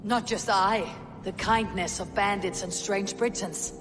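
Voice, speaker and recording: An actress playing a stern, resolute Viking warrior in a video game cutscene, grave, blunt and commanding. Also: female voice, mid-range voice, fairly even intonation, a little slow, background noise, close to an everyday voice. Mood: cold, accusing.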